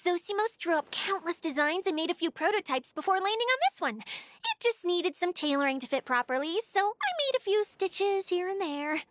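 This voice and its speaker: A woman's voice: extremely high pitch, expressive, normal speed, few pauses, a bit bright. A woman voicing a small, cheerful female spirit guide in a video game, polite and eager with emphatic delivery. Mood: helpful, gentle. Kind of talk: animated video game voice acting. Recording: background music under the voice.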